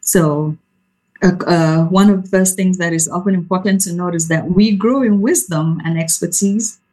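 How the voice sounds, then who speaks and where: A soft-spoken woman from Cameroon, a researcher giving an informal talk over a video call. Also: lowish voice, normal speed, poor audio. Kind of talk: informal presentation.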